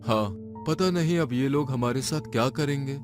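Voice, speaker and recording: A man voicing a worried, fearful young space explorer in an animated story. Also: medium pitch, moderate pace, over music, close to an everyday voice.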